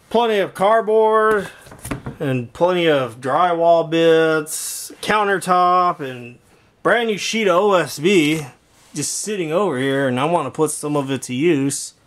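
Male vlogger, slightly high voice, halting and off-the-cuff, showing his gear on a handheld camera. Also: lively intonation, a bit bright. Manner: rambling, halting, thinking aloud. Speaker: video creator showing a home intercom and speaker system. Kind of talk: casual walkthrough vlog. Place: indoor garage workshop.

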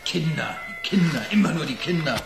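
A man's voice: on the high side, animated, normal speed, vocal fry, reverberant, loud music behind the voice. An actor playing an overworked, irritable man in a radio crime drama, grumbling and sarcastic. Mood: exasperated. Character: overworked, irritable family man, gruff and exasperated.

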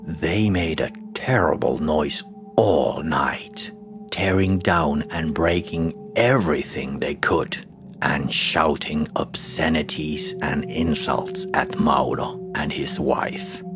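A man narrating a scary folktale in a dramatic, deliberate storytelling manner. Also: very deep voice. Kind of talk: scripted horror folktale narration. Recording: music behind the voice. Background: music.

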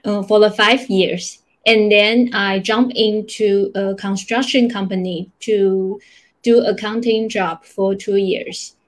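A Taiwanese woman answers questions as a guest on an online chat. She speaks very slowly in a mid-pitched voice. The sound is that of a video call from a small room. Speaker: interview guest. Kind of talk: casual interview answers.

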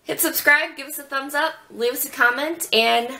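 A woman's voice: sits high, vocal fry, pushed and bright. A woman in her twenties from Ohio with a Midwestern accent, drawing out her vowels as she chats and reads questions to a friend on webcam in a small bedroom. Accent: Midwestern American. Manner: chatty, giggly, drawn-out vowels. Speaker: vlogger host. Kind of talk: casual chat, reading tag questions. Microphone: webcam at desk.

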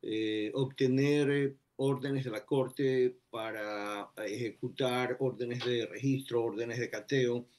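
A man in his sixties from Ecuador, a retired federal agent speaking as a guest over a video call, measured and full of pauses. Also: mid-range voice, steady tone, darkish tone. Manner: measured, many pauses and "eh" fillers. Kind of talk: remote video interview. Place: indoors before a plain backdrop.

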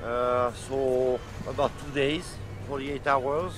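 A man's voice: mid-range voice, steady tone, takes it slowly, noisy background. An older man, a yacht captain, chatting casually on deck in front of an action camera. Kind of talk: casual chat. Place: outdoors on the deck of a sailing yacht at sea. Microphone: action camera from a short distance.

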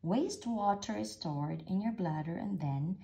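A woman slowly reading a rhyming picture book aloud, with an expressive read-aloud manner. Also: gravelly. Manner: slow, expressive read-aloud with lively pitch. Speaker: storybook reader.

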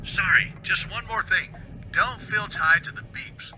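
Radio station boss, game character, sarcastic, condescending, rambling, a bit high-pitched. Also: male voice, unhurried, bright, forceful tone, a little hiss or hum, exaggerated voice, low-quality recording. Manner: sarcastic, belittling, rambling instructions. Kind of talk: video game voice acting.

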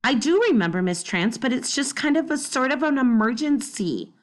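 A woman's voice: high-pitched, animated, moderate pace, voice pushed up. A woman voicing a spirited young schoolgirl in a children's storybook, polite and pleading.

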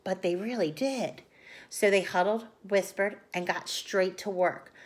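A woman in her thirties reading a picture book aloud to children like a teacher, in an expressive way. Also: medium pitch, measured pace. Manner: expressive, addressing young children. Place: small room at home, indoors.

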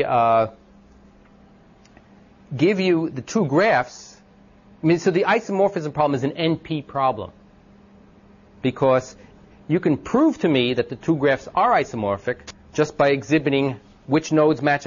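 Loud-voiced male lecturer for an informal class talk, mid pitch, muffled old recording. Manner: thinks aloud with many ums and restarts, asks the class questions.

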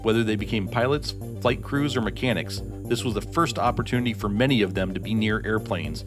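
A man narrates a documentary in a low-pitched voice, reading from a script. Music plays clearly behind him. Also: a little fast. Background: background music.

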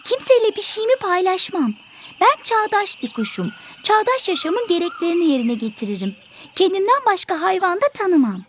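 Selfish cartoon bird, squeaky high voice, brusque and scolding, lively intonation, faint noise behind. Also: female voice, normal speed, exaggerated voice. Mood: smug, defensive. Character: selfish grumpy bird guarding its tree. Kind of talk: educational cartoon voice acting.

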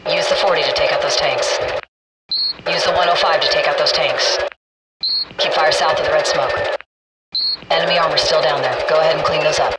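Male military fire control officer for shooter game, actor performance: higher-set male voice, terse and commanding, clipped radio callouts under heavy cabin noise. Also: steady tone, brisk, distant mic, lightly acted. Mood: commanding, businesslike.

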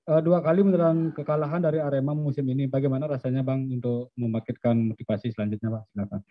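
A man moderating a press conference, briskly reading out reporters' names and their questions. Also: medium pitch, steady tone. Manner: brisk, announcing names and outlets. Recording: dry, dull lo-fi stream audio.

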